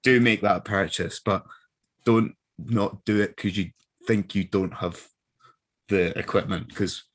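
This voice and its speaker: A man's voice: fairly low pitch, measured pace. A man chatting casually and giving advice as a guest voice actor, informal and emphatic, often repeating himself.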